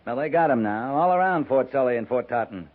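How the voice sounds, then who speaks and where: A man acts out a calm American cavalry captain in an old radio western. He is dry and understated, polite with a sly edge. The voice is mid-pitched on a thin, narrow-band recording. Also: brisk, close to an everyday voice. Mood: wry, pointed. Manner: dry, understated, courteous but needling. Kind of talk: 1950s radio drama acting.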